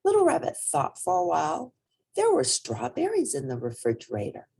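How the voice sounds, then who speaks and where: A woman's voice: on the low side, wildly swooping pitch, normal speed, creaky, dark tone, slightly rough. A woman reading a children's storybook aloud expressively, speaking directly to young listeners. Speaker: storybook reader.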